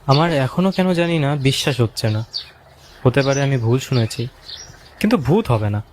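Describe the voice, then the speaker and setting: A man's voice: medium pitch, animated, moderate pace, a little hiss or hum, lightly acted. A man playing a curious, skeptical young visitor in a cartoon ghost story, questioning and doubtful in manner.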